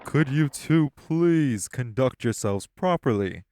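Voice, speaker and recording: A man's voice: mid-range voice, lively intonation, slow pace, mellow, no room sound, studio quality. An actor playing a composed, formal male crime ringleader who speaks in a stern, proper way. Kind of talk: audio roleplay acting.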